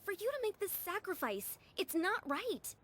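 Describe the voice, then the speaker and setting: A worried young girl companion, high voice, lively swooping pitch, pleading. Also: moderate pace, a little hiss or hum, full character voice. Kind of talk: video game voice acting.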